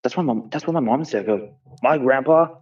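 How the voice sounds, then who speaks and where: A man's voice: mid-range voice, brisk, darkish tone, breathy edge, distant mic, dull audio. A man chatting casually with a friend, curious and full of repeated questions. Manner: curious, asks lots of questions, repeats himself. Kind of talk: casual chat.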